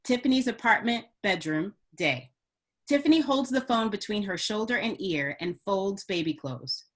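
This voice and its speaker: A woman's voice: medium pitch, animated, normal speed. A woman reading stage directions as scripted narration for a screenplay table read.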